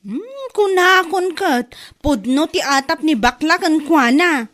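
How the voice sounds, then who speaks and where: Woman acting gossipy laundrywoman, Ilocano accent, high voice, aggrieved, exclamatory, radio comedy drama. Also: animated, takes it slowly, a bit bright, heavily acted. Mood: gossipy, surprised. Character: gossipy, aggrieved laundrywoman chatting with her friend.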